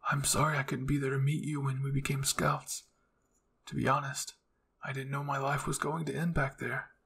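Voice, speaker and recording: A man voicing a soft-spoken, regretful male spirit of a fallen soldier, earnest in manner. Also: mid-range voice, level tone, normal speed, gravelly, slightly rough. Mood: gentle regret.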